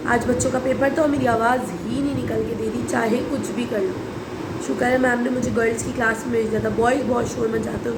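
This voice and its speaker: A young woman from Karachi, Pakistan, with a hoarse, sore throat, chatting casually and rambling in a home vlog. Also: fairly high pitch. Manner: rambling, chatty, mixes in English. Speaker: vlogger and schoolteacher. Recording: noisy background hum.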